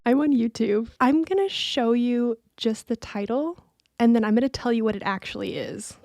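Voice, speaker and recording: A woman with a fairly high voice chats as a podcast co-host in a very dry recording. She is candid and slangy, and is apt to slip into whispering. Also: normal speed, darkish tone, no room sound. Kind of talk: casual chat.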